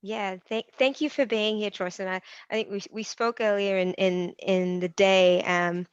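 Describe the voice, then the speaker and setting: A woman's voice: medium pitch, steady tone, normal speed, smooth, no echo, highs cut off. A woman hosting a live online interview, often restarting her sentences and using many fillers. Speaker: host.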